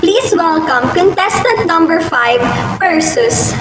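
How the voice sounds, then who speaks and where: Heard from far off in an echoey, noisy space, a woman acts out an excitable female sports announcer for a radio play. The voice is very high. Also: slow, over loud music, over a noisy crowd, heavily acted. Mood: excited. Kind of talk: amateur radio play acting. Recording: distant, noisy, echoey lo-fi amateur recording.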